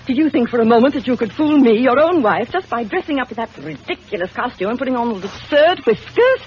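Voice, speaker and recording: An actress playing a proud, cunning, theatrical wife in emphatic 1940s radio drama style. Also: female voice, a little high, expressive, quick pace, natural voice. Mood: teasing, superior. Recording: muffled, noisy vintage radio recording.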